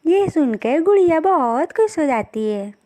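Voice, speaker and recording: Lady telling a kids cartoon story, super high voice, takes her time, scripted narration. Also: very animated, measured pace, warm tone.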